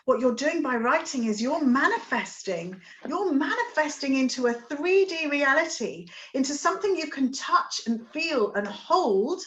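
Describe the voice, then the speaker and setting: A woman speaking as a guest education expert in an interview, passionate and expressive, often checking in with a quick "right?". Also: fairly high pitch, poor audio. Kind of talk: conversational interview.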